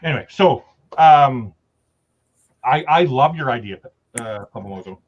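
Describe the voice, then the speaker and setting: A man talking earnestly in a casual online chat, sharing his views with halting restarts. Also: medium pitch, expressive, highs cut off.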